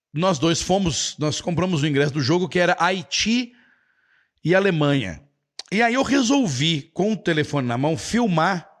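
A middle-aged Brazilian man with a Brazilian Portuguese accent, chatting casually as an English teacher who answers viewers' comments. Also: fairly high pitch. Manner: chatty, with many restarts and quick asides.

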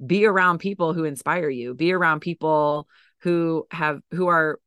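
A woman's voice: deep voice, normal speed, fairly bright tone, clean tone, no room sound, studio quality. A woman with a scratchy, tired voice from teaching, chatting as a podcast guest with lots of fillers and restarts. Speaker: podcast guest, dance educator and coach. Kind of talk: casual interview chat.

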